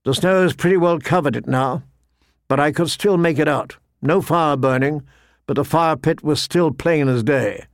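A man's voice: a little high, moderate pace, no echo, clean studio sound, close to an everyday voice. A man voicing a wary poacher turned scout in an audiobook, reporting in plain, guarded, rustic speech. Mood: uneasy.

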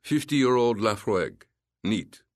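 A man doing a deep, unhurried, urbane rich host. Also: expressive, dry recording, clean studio sound. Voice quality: deep, resonant, faint foreign accent. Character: wealthy elegant older man host, languid, never hurried.

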